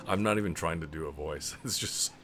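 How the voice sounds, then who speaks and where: A man streaming a video game live, chatting in a rambling way and clearing his throat often. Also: rumbling low, normal speed. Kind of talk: live let's play commentary. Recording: game music clearly audible underneath. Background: game music.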